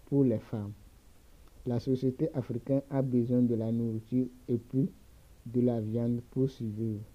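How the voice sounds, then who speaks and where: A man coaching on the topic of work, reading slowly and measuredly, repeating words and pausing with hesitations. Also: medium pitch. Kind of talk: reading a lecture text. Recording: dull lo-fi recording.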